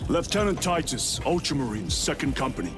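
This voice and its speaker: A man's voice: a little high, sing-song, a little fast. A man playing a grave, resolute veteran space marine lieutenant in a video game, terse and commanding. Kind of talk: dramatic video game acting. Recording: loud music behind.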